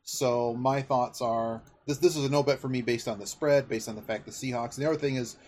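Man, podcast host, casual betting talk, rambling and analytical, mid-pitched, some background noise. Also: steady tone, fairly quick, a little mellow. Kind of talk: casual sports betting chat.

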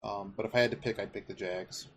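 A man's voice: lowish voice, fairly even intonation, quick pace, fairly bright tone. Man chatting over noticeable background noise. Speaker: podcast host. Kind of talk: casual sports betting chat.

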